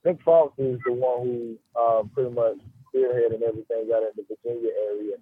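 A man giving a casual radio interview as a guest, talking haltingly and peppering his speech with "you know" and "man". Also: medium pitch, steady tone, breathy edge, no echo. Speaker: guest, rapper and music business man. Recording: tinny phone line.

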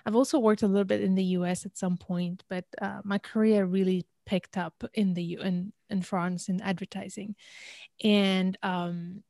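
A woman's voice: medium pitch, flat delivery, moderate pace, gravelly, dark tone, no room sound, studio quality. A woman in her thirties from Algeria, raised in many countries, telling her story conversationally and with animation as an interview guest. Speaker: interview guest, business consultant.

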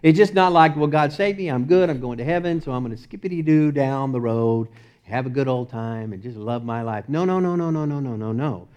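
A man with a Texas accent preaching a sermon as the pastor, emphatic and repetitive, often breaking into short laughs and swinging between loud and soft. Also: medium pitch, brisk, clear, resonant tone. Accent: Texan.

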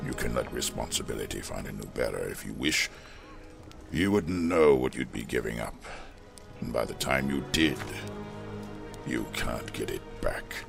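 An actor playing a weathered, solemn smith and former fire preacher in a fantasy audio drama, speaking in a gravelly, measured, reflective way. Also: male voice, rumbling low, lively intonation, music in the background, heavily acted. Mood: earnest, urging.

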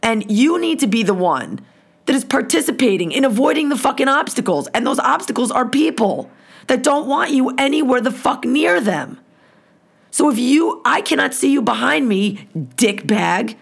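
A hoarse woman hosting a podcast, delivering a blunt, profane, heated rant. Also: sits high. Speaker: podcast host.

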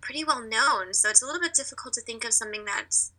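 A young American woman chatting casually as an interview guest, talkative and quick to laugh. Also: fairly high pitch, sharp tone, poor audio. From Virginia, United States. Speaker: interview guest, artist living abroad.

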